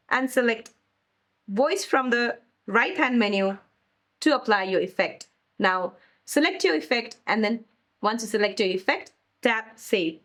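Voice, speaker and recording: High-voiced woman giving tutorial instructions. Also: a bit bright. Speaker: tutorial host. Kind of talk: step-by-step scripted tutorial narration.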